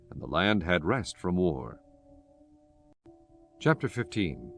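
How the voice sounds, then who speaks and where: Low-voiced man narrating scripture over music. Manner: measured, formal reading. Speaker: audiobook narrator. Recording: music under the voice. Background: soft instrumental music.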